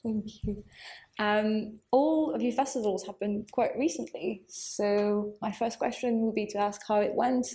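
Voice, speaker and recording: A woman's voice: mid-range voice, steady tone, a little slow, dark tone. A woman moderating a panel, putting questions to the speakers informally.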